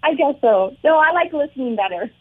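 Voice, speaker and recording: A woman's voice: high-pitched, lively intonation, brisk. A woman, co-hosting a talk show in bubbly, chatty talk with frequent laughter. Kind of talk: casual on-air chat. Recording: thin phone-line sound.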